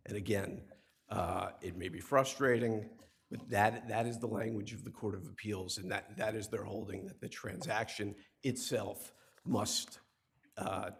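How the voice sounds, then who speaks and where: A man's voice: lowish voice, slightly rough. A man arguing an appeal before judges in a courtroom, speaking emphatically at a podium mic and often repeating words as he quotes case law. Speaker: appellate attorney. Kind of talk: oral argument.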